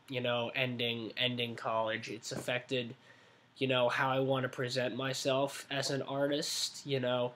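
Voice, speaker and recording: A man's voice: mid-range voice, fairly bright tone. A nineteen-year-old man talking casually to his webcam in a small room, rambling and correcting himself with many fillers. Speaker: art vlogger. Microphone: webcam at desk.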